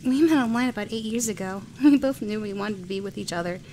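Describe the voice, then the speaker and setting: A woman's voice: medium pitch, quick pace, darkish tone, a little hiss or hum, lightly acted, low-quality recording. A woman with an American accent playing a sad, sympathetic young woman in an amateur radio play.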